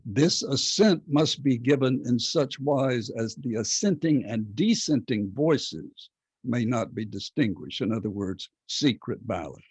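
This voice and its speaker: An older man teaching an online class from a home study, speaking in a measured, halting way with many fillers, his voice a bit hoarse. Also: mid-range voice, animated, darkish tone, dry recording. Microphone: laptop webcam video call. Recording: dull lo-fi video call audio.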